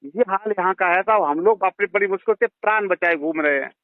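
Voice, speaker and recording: A man's voice: sits high, a little fast, dry recording. A man with a western Uttar Pradesh accent speaking as a villager on a phone call, pleading insistently and deferentially and repeating himself. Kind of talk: heated phone conversation. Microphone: phone line. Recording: tinny narrowband phone call recording.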